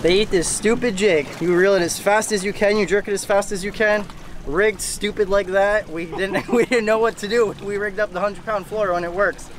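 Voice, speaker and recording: Young man, high voice, excited joking fishing talk, boat at sea, loud wind and engine noise. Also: normal speed. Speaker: fishing vlogger. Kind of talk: casual fishing vlog talk. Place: small boat on open sea, outdoors.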